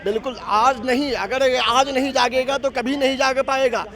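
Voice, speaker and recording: A man speaks in a very high-pitched voice as a protester interviewed outdoors. He argues his case insistently, stacking rhetorical questions and sometimes restarting his phrases. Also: steady tone, normal speed, a bit bright, some chatter behind. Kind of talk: vox-pop political argument. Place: outdoors on a city street.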